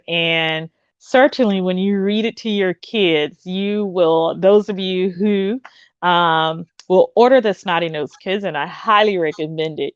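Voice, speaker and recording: A woman's voice: lowish voice, clean tone, dry recording, muffled recording. A woman hosting an online reading event, interviewing a guest and speaking with effusive praise. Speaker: host.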